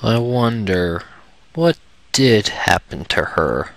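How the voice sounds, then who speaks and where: A man's voice: lowish voice, lively intonation, slow, creaky, dry recording. A man giving casual, reacting commentary as the host of a gaming video. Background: game music.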